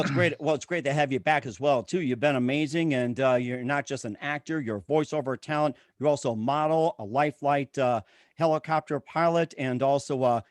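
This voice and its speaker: A man's voice: mid-range voice, no echo. A man hosting a talk show, reading plugs and introductions in an announcer-like way with stock phrases. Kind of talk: promotional show host talk, ad reads and guest introduction.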